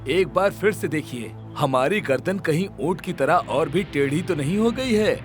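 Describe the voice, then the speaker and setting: A man voicing a regal, impatient middle-aged emperor in an animated story, with a commanding manner. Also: on the high side, close to an everyday voice. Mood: pleased. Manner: commanding, quick to demand answers. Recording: background music under voice.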